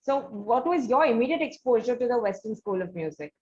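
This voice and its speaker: A woman's voice: on the high side, very animated, a little fast, a little mellow. Indian woman host, dull lo-fi sound. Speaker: interview host. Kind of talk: conversational interviewing. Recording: dull, lo-fi connection.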